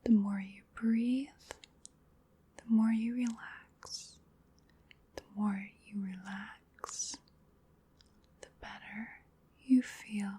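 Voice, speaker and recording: A woman whispering in a breathy voice, giving soothing, unhurried ASMR hypnosis as part of a roleplay. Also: medium pitch, level tone, dark tone. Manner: soothing, unhurried, repetitive guided relaxation. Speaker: ASMR performer.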